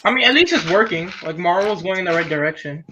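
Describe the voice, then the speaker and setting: A man's voice: sits high, lively intonation, fast, pushed and bright, slight background noise. A young man from Pakistan, a guest in a casual livestream chat, talking in a laid-back way full of fillers.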